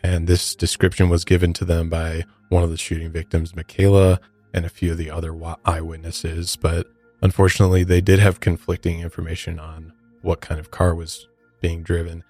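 A man's voice: low-pitched, completely flat delivery, brisk, mellow, faint music behind. A man hosting a true crime podcast, explaining the case conversationally and addressing the listener directly. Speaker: podcast host.

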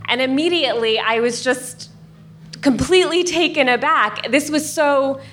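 A woman in her late twenties to thirties from the United States, telling a personal story on stage in an earnest, measured way with wry asides. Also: sits high, fairly bright tone, a little hiss or hum.

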